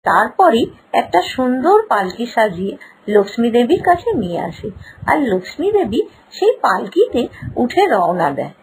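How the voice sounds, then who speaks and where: Animated high-pitched woman storyteller. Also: normal speed, vocal fry, breathy edge, rough recording. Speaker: narrator. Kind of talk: scripted children's moral story narration.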